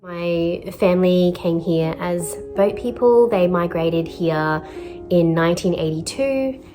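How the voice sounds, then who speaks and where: A woman talks in a low, deep register. She is a therapist speaking slowly and thoughtfully, with pauses and fillers. Her voice-over sits over clear background music. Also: mellow. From Australia. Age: 30s. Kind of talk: reflective vlog voice-over.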